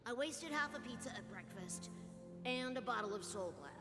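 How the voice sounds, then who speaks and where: A woman's voice: a little high, animated, moderate pace, natural voice. An actress playing a brash, irreverent young woman in a video game, with a sardonic, taunting, casual delivery. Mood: flippant, bored. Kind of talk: dramatic video game voice acting. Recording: long hall reverb under loud music.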